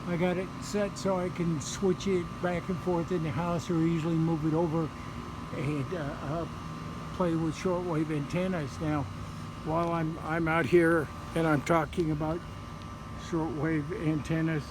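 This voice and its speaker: Halting man outdoors, slightly high voice, noisy. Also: flat delivery. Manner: halting, unscripted, repeats words. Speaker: hobbyist video presenter. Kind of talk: casual outdoor show-and-tell update. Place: outdoors in a residential yard. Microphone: handheld camera microphone. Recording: noisy outdoor handheld camera audio.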